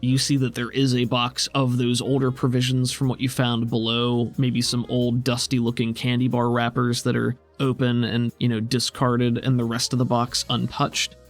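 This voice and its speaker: A man's voice: medium pitch, monotone, moderate pace, hardly any pauses. Male game master over music. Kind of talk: narrating a tabletop role-playing game, conversational.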